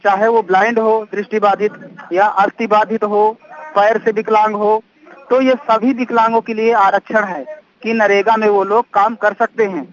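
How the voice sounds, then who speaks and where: A man's voice: sits high, little pitch movement, normal speed. A man from northern India, giving a first-person account as an interviewee. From Kaushambi, Uttar Pradesh, India. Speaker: interviewee telling his own experience. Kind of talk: spoken first-person testimony. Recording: dull, lo-fi radio-like recording.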